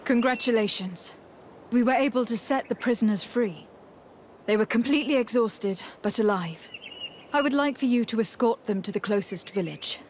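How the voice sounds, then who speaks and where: An actress playing a composed noble envoy and leader in a video game, speaking formally and with measured authority. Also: female voice, a little high, a little mellow, a little hiss or hum, natural voice. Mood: formal, diplomatic. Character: noble female envoy, composed young leader giving orders. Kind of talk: scripted video game voice acting.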